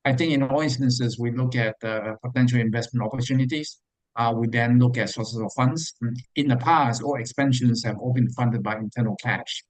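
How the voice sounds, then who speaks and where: A man's voice: medium pitch, dry recording, poor audio. Hesitant male finance executive, dry close sound. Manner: hesitant, halting delivery with repeated words. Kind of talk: answering investor questions on an earnings webinar.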